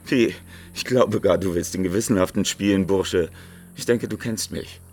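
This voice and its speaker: Gravelly, very deep menacing outlaw. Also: male voice, moderate pace, a little mellow. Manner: cool, insinuating, threatening. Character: menacing, sly outlaw and murderous bandit. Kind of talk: 1950s German radio drama acting. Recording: old radio recording with faint hum.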